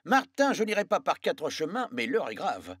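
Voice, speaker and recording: Pompous, swooping cartoon headmaster. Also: male voice, on the high side, huge pitch swings, normal speed, fairly bright tone, a little hiss or hum. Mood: grave, ceremonious. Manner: formal, pompous. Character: pompous formal school headmaster.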